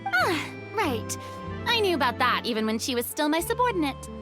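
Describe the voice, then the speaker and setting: A woman voicing a playful, theatrical young lady in a video game, expressive, breezy and frank. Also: high voice, normal speed. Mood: breezy, candid. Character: theatrical, candid young female former superior, playful. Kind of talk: video game character dialogue. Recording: music playing behind the voice.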